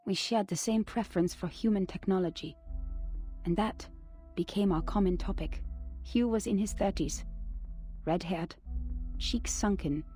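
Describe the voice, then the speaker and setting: A woman voicing a reflective female narrator reading out her own written account, measured and wistful. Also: medium pitch, mellow, soft background music, lightly acted. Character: reflective woman recalling a strange acquaintance. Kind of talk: game narration of a written record.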